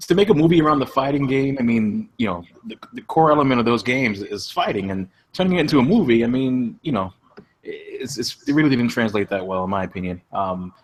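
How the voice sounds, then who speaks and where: A man in a casual panel discussion on a video call, giving his opinion in a hesitant, measured way. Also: medium pitch, animated. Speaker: podcast panelist.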